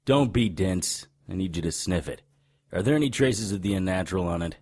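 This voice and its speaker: A hard-boiled occult detective in a noir audio drama. His voice sits very low and rough, with wide swings in intonation. He is wry, deadpan and sarcastic. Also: a little fast.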